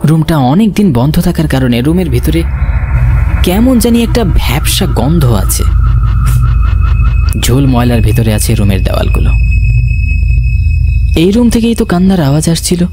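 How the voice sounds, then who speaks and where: A man's voice: fairly high pitch, moderate pace, pauses often. A man giving a dramatic, suspenseful first-person narration of a scripted horror story. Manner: expressive, suspenseful. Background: music.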